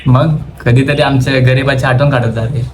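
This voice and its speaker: A young man with a Maharashtrian accent talks in a playful, casual vlogger style. His speech is slangy and mixes in English words. The audio is clearly noisy. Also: mid-range voice, fairly even intonation, normal speed, darkish tone. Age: young adult. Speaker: vlogger making a prank call. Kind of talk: casual teasing chat. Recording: clearly noisy phone-shot vlog audio.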